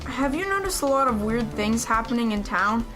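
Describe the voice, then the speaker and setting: A woman acts a worried young boy in an audio drama. The voice is high, earnest and questioning, with light music underneath. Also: animated, normal speed, lightly acted. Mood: urgent. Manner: earnest, questioning, plain-spoken.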